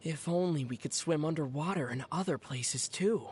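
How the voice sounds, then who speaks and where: A woman voicing a calm, sensible young female adventurer in a video game, in a wry, measured and thoughtful way. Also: sits low, breathy edge. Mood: thoughtful, curious.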